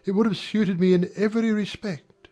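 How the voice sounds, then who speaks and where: A woman voicing a smooth-talking, self-pitying young gentleman in a dramatized audiobook, wistful and mannered. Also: medium pitch, steady tone, normal speed, soft background music, lightly acted. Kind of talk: dramatized audiobook character acting.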